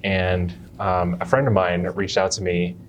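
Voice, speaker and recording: A young man from Jamaica who works as a chiropractor, answering interview questions in an unhurried way and often restarting his phrases. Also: deep voice, little pitch movement, slight background noise.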